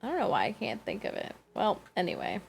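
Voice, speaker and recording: Animated, creaky-voiced woman streaming casually. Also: mid-range voice, slight background noise. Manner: thinks aloud, halting, questions herself. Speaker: game livestreamer. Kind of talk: casual rambling gameplay commentary.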